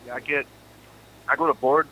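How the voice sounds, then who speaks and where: A man's voice: medium pitch, fairly even intonation, moderate pace. A man in his forties calling from prison, laid-back and joking. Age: 40s. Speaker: incarcerated guest on a call-in show. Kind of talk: casual phone chat. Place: prison phone. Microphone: phone line. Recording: faint noise on a prison phone line.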